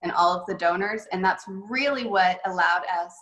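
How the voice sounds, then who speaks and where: A woman's voice: on the low side, normal speed, a bit bright. A woman head golf coach telling stories in a casual, chatty way with many fillers, over a video call. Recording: echoey room on a video call.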